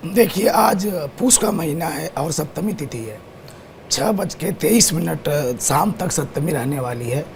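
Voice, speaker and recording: Hoarse man with a gravelly voice. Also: a little high, expressive, measured pace, a little mellow, slight background noise. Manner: lists times and dates steadily. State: sore, hoarse throat. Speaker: astrologer guest. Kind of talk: explaining astrology on TV. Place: TV studio.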